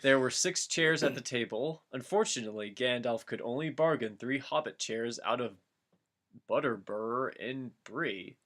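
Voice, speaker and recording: Bright-voiced man reading aloud for laughs. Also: medium pitch, moderate pace. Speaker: reader of a fan-fiction dramatic reading. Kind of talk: comic read-aloud with casual banter.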